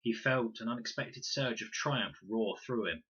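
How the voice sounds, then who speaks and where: A man reading a children's novel aloud as expressive, deliberate scripted narration. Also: medium pitch, husky, muffled recording. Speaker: story reader, teacher.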